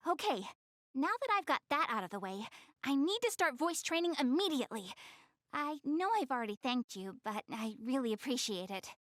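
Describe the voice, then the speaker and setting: A woman playing a proud, self-conscious young goddess girl in an anime-style game, expressive, easily flustered and earnest. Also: high voice, normal speed, dry recording, clean studio sound. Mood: earnest, determined.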